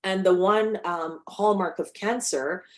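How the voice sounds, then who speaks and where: A woman's voice: medium pitch, a little slow. A middle-aged woman from Barbados, a cancer biologist speaking to an audience in a conversational way, with restarts. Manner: conversational, with frequent restarts and fillers. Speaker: cancer biologist giving a talk. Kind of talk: personal talk to an audience.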